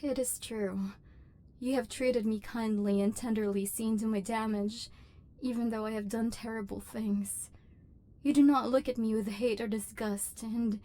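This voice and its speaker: A lonely female robot overlord, acted by a woman for an ASMR roleplay, with a fairly high voice. She talks in formal, measured machine phrasing. Under the guarded manner she sounds wounded and yearning. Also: warm tone, natural voice.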